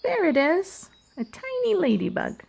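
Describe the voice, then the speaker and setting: A woman childcare educator telling stories and playing with toddlers in a sing-song, animated, child-directed way, using simple phrases. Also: extremely high pitch, measured pace, vocal fry. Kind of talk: sing-song picture book storytelling and play for toddlers.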